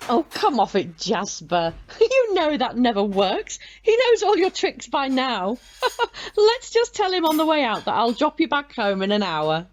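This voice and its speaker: A woman's voice: high voice, very animated, unhurried. A woman playing a bustling, bossy but chummy organiser who chivvies people along in an audio drama. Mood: chivvying. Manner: exclamatory, chivvying.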